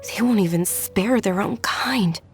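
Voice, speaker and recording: A woman voicing a gentle, caring young noble lady who speaks in a soft, earnest and polite way, in game voice acting. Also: mid-range voice, unhurried, faint music behind, natural voice.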